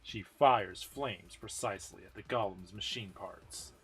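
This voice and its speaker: A man's voice: fairly low pitch, measured pace. A man giving a dramatic amateur reading of a game story as narrator. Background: music.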